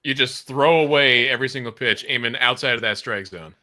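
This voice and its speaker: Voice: mid-range voice, a little fast, sharp tone. A co-commentator trading casual, colloquial and teasing banter during a live sports broadcast. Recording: noisy mic with clicks.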